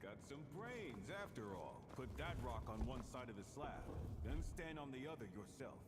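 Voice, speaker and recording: Gruff, mocking martial-arts companion played by a man. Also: mid-range voice, very animated, normal speed, very noisy, natural voice. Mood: bossy. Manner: curt, mocking. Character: gruff, sardonic, bossy male wuxia companion. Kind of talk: video game voice acting.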